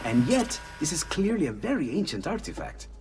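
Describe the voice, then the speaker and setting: An actor playing a curious, scholarly Renaissance inventor in a video game, absorbed and full of wonder, with an Italian accent. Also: male voice, fairly high pitch, sing-song, fairly quick, recorded from a distance, noisy background. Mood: awed. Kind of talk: video game cutscene acting.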